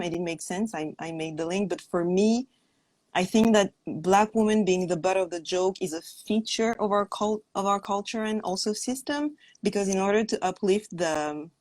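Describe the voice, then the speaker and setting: Lowish-voiced woman, halting and dry-sounding. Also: a little mellow, no echo, low-quality recording. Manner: halting, searches for words, repeats phrases. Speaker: caller on a discussion show. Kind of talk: casual, reflective opinion.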